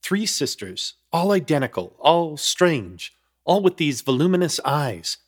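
A man's voice: on the high side, very animated, a little slow. An actor playing an uneasy apartment hunter who narrates his ordeal in florid, wry scripted storytelling for a horror comedy radio play. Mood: tense, unsettled.

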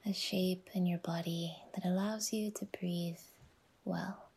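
A woman guiding a relaxation meditation in a soft, whispery, soothing voice, unhurried and leaving long pauses between phrases. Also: on the low side, fairly even intonation, creaky, breathy edge. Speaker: yoga nidra guide and podcast host.

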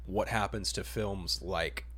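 Male podcast host, lower-pitched voice, casual chat delivery. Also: steady tone, slow, a bit bright. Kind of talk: casual chat about superhero films.

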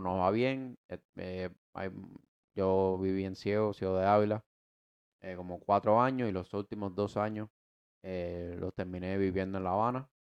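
A man's voice: low-pitched, clear, resonant tone, highs cut off. A man in his twenties with a Cuban Spanish accent, casually telling his life story as a podcast co-host, rambling with many restarts and slangy asides. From United States. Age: 20s. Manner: slangy and relaxed.

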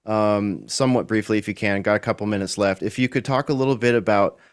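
A man with a smooth, somewhat low voice poses a question as a radio co-host. He hesitates and fills the gaps as he goes. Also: steady tone, quick pace. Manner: hesitant, many fillers. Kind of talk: talk radio interview.

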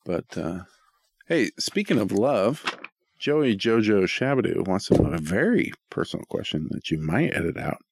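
A man with a fairly low voice co-hosts a podcast, recorded dry with little room sound. He chats casually and jokes around, irreverent and free with swearing. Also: big pitch swings, normal speed, creaky, no echo. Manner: profane, irreverent. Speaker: podcast co-host reading listener questions. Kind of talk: casual joking banter.

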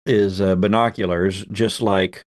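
A man's voice: sits low, fairly even intonation, unhurried, dry recording, clean studio sound. A man hosting a tabletop roleplaying game as game master, narrating casually in an easygoing, chatty way.

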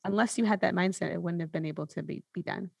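A woman's voice: fairly low pitch, fast talker, creaky, no echo, studio quality. A woman hosting a casual interview, talking informally and encouragingly to her guest. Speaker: podcast host, interviewer.

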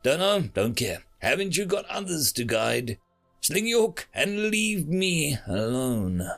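Cocky human fighter read by a male narrator; slangy, flippant, unimpressed, animated with some gravel, for a sci-fi tale. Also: fairly high pitch, slow, faint music behind, voice pushed up, exaggerated voice. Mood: defiant, flippant. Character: cocky, irreverent human soldier, newly dead, unimpressed.

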